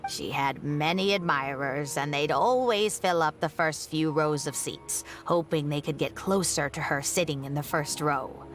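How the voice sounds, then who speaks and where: An older woman scholar in a video game. Her voice sits very low for a woman. She speaks in measured, reflective phrases with a composed, wry edge, over faint music. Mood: fond, reflective. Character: elderly woman scholar, former teacher, composed and reminiscing. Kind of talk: scripted video game dialogue.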